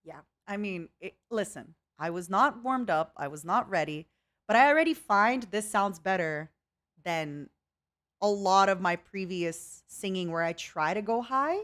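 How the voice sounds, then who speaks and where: A woman's voice: medium pitch, expressive, measured pace, a bit bright. A young woman streaming a casual chat with viewers, rambling and reading out chat messages. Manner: chatty, rambling, reads chat aloud.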